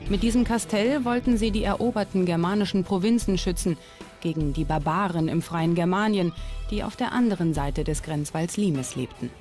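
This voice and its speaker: A woman presenting a history documentary in scripted, clearly articulated and explanatory narration. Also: lowish voice, normal speed, music in the background. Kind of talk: scripted documentary narration with on-location interview questions.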